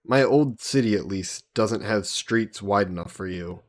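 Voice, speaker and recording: A man voicing an easygoing, teasing young adventurer hero in an intimate fantasy roleplay audio drama, casual and gently coaxing. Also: fairly low pitch, unhurried, lightly acted. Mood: persuading, casual. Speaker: voice actor.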